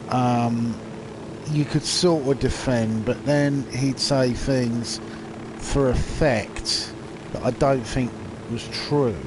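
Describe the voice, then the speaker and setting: A man, stuffed up and nasal from hay fever, talks slowly and hesitantly, full of ums and restarts. He chats casually while playing a game. Clear game sounds and engine noise run behind him. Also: medium pitch. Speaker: livestreamer playing a video game. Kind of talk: casual rambling commentary over gameplay. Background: video game audio with vehicles.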